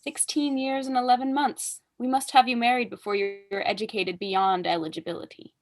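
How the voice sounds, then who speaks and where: A woman's voice: a little high, little pitch movement, moderate pace, lightly acted. A young woman acting a haughty, witty aristocratic mother in a staged reading, pompous, arch and declamatory. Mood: dry, witty. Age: young adult. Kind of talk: staged reading of a period comedy.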